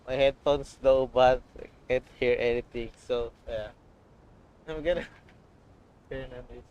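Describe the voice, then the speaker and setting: A man from the Philippines chatting casually and haltingly as he streams a game. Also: mid-range voice, steady tone, darkish tone, smooth, muffled recording. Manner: halting, offhand. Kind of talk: casual live-stream chat. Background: game music.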